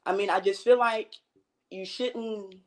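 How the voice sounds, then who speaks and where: A woman's voice: medium pitch, fairly even intonation, a little slow, a little mellow. Woman, late 30s, American accent, mid-range voice, activist guest, casual impassioned conversation. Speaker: interview guest, community activist.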